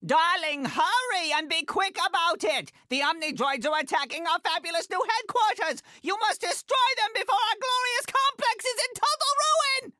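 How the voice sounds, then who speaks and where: A man's voice: extremely high pitch, normal speed, hardly any pauses, pushed and bright, no echo, studio quality, exaggerated voice. A man playing a tiny, imperious middle-aged female fashion designer in a video game, haughty and dramatic, with clipped, fondly condescending delivery. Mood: urgent, alarmed. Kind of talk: video game character voice lines.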